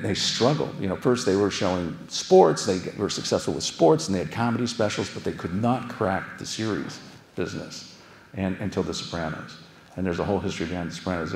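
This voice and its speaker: A man's voice: on the low side, gravelly, a little hiss or hum. An older man with an American accent gives a conference keynote in a conversational, explanatory way, with frequent asides. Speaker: keynote speaker, television showrunner. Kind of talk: conference lecture to an audience. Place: large auditorium stage, indoors. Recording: echoey auditorium sound.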